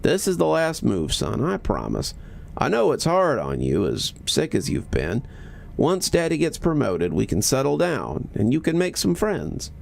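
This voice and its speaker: Weary, kind dad, read by a male narrator in a storybook: gentle, apologetic, slightly creaky, rising and falling pitch. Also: wildly swooping pitch, a little slow, full character voice. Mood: reassuring. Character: weary, sympathetic father soothing his young son. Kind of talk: horror storybook character voice.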